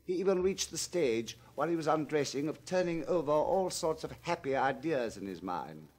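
A man narrating a literary novel in a measured, expressive reading that swings between loud and hushed passages. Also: a little high, breathy edge. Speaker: audiobook narrator.

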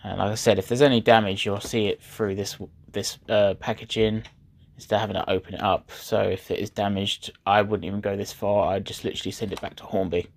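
A man's voice: lowish voice, flat delivery, fairly quick, vocal fry, no room sound. A man who is not a native English speaker, chatting casually while unboxing a model train, rambling and correcting himself.

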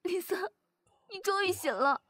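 Woman playing an anxious, high-voiced young woman. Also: expressive, unhurried. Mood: gentle, worried. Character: anxious young woman at a loved one's hospital bedside. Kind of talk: audio drama acting. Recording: clearly noisy background.

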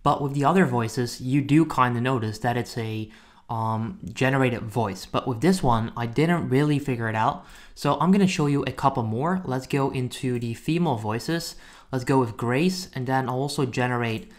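A man hosts a relaxed software walkthrough for online viewers. His voice sits at an average pitch, and he talks informally, as if chatting to the people watching. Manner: informal, addresses viewers as guys. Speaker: software reviewer and tutorial host. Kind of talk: casual screen-recording walkthrough.

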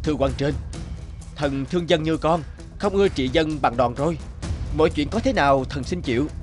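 An upright young magistrate of a cartoon fairy tale, acted by a man. He speaks with principle in a fairly high male voice, with music behind him. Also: normal speed, natural voice. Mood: resolute.

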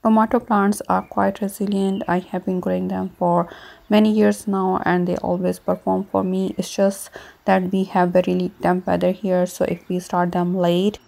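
A woman talks through her gardening in a steady, level voice that barely rises or falls. She explains each step calmly, like a tutorial, recorded outdoors on her patio while her hands work the soil. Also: on the low side, normal speed, vocal fry, poor audio. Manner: calm, even, explanatory. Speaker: gardening channel host. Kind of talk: casual gardening tutorial narration.

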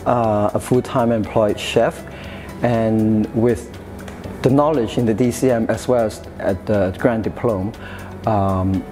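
Interview guest, man in his 30s, mellow tone, halting delivery with fillers, faint music underneath. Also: fairly low pitch. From Hong Kong, raised in the UK. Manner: hesitant, many fillers and restarts. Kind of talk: casual interview answers. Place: café-style room with sofa, indoors.

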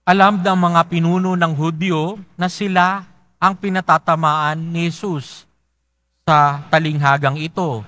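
A man with a Filipino accent, a priest reading the Gospel aloud in a large church in a slow, measured way. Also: fairly high pitch, fairly even intonation, fairly bright tone, no echo. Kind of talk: reading the Gospel and preaching at Mass.

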